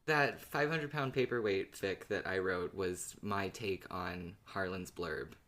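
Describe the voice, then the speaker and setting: A woman's voice: extremely low pitch, takes it slowly, fairly bright tone. A woman chatting casually as a panelist on a fan podcast.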